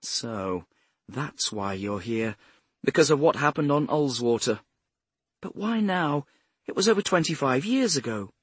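A man's voice: mid-range voice, animated, a little slow, breathy edge, no room sound, voice pushed up. A man narrating an audiobook as a prim, self-righteous older woman with a cultured English accent. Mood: wary, probing. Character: cultured, haughty retired care-home matron, a grandmother.

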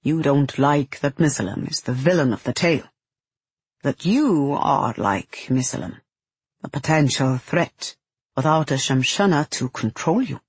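A woman voicing a big, calm male guardian in an audiobook, pleasant and matter-of-fact with quiet menace. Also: rumbling low, unhurried, breathy edge, no room sound, heavily acted. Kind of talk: audiobook character voice.

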